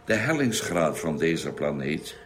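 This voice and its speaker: Ship's doctor for sci-fi radio play: low, thoughtful, explanatory, audible noise behind. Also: male voice, sing-song, a little slow, heavily acted. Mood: thoughtful, observing. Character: thoughtful male doctor aboard a Mars spaceship. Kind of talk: Dutch radio play acting. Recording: noisy radio drama recording.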